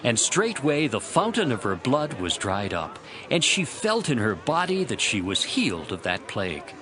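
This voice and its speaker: A man's voice: mid-range voice, huge pitch swings, normal speed, crowd noise. A man narrating scripture as a dramatized story, in a dramatic storytelling manner. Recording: loud music behind the voice. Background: music.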